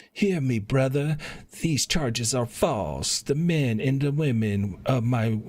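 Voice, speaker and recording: A man live-streaming a video game, reading quest dialogue aloud in a halting way and stumbling over words. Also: medium pitch.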